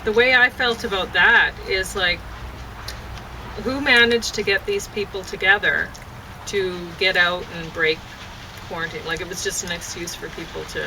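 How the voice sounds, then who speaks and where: A woman's voice: fairly low pitch, fairly even intonation, normal speed. A woman chatting casually outdoors, in a hesitant, rambling way that often repeats itself. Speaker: poet and vlogger. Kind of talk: casual chat. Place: outdoors on a deck near downtown. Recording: clear outdoor urban and traffic noise.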